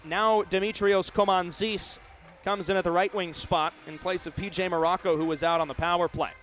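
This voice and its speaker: A man's voice: high-pitched, fairly even intonation, normal speed, fairly bright tone, soft background music. A man in his twenties doing live radio play-by-play of a hockey game in clipped, vivid running narration. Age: 20s. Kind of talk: live radio sports commentary.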